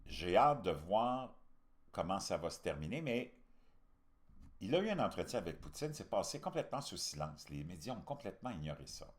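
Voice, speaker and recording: A low-voiced Québécois man hosts a casual political commentary. His pitch moves around a great deal as he makes his points. He is colloquial, opinionated and emphatic. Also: big pitch swings, moderate pace. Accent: Québécois French. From Canada. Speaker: host commentator.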